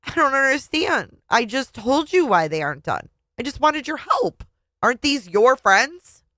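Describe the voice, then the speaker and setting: A woman voicing an exasperated wife in a story, pleading and high-strung as she begs to be understood. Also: very animated, a little slow, fairly bright tone, no echo, studio quality. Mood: reasoning, frustrated.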